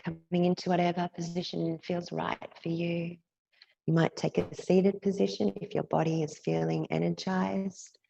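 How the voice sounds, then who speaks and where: A woman teacher leading a guided yoga nidra meditation in a gentle, soothing, unhurried way, leaving long pauses between phrases. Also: lowish voice, little pitch movement, vocal fry, lo-fi sound, a little hiss or hum.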